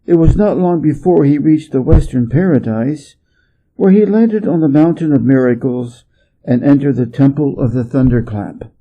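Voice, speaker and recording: A man's voice: medium pitch, normal speed, dull audio. A man reading a story aloud as a scripted narration. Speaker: story reader.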